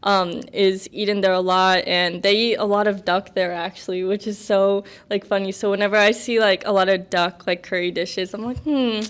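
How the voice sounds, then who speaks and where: A young American woman in her twenties, guest on a podcast. She chats casually, rambling with lots of fillers and little laughs, in a smooth, clear voice of middling pitch. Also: fairly even intonation, normal speed, few pauses. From United States. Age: 20s. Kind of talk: casual interview chat.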